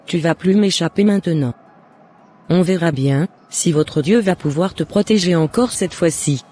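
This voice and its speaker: Man voicing a high-pitched jealous neighbour woman. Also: normal speed, warm tone, lightly acted. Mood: menacing. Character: jealous, scheming woman neighbour turned remorseful.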